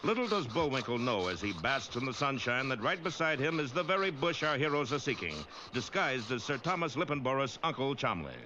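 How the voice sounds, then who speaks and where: A man's voice: medium pitch, big pitch swings, fairly quick, hardly any pauses, bright, forceful tone, muffled recording. A man playing a breathless, mock-serious narrator of a classic cartoon serial, urgent and florid. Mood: brisk, wry. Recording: old cartoon soundtrack with faint hiss.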